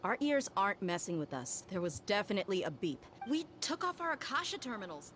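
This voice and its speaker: A woman's voice: medium pitch, sing-song, fairly quick, fairly bright tone, faint music behind. A woman streamer reading video game dialogue aloud.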